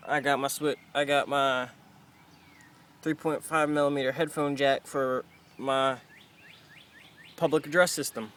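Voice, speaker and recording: A man's voice: medium pitch, steady tone, measured pace, long pauses, a bit bright, a little hiss or hum. A man giving a casual, off-the-cuff walkthrough as an amateur vlogger, informal and given to mild swearing, recorded on a handheld camera in and around a car. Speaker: amateur vlogger showing a car radio install.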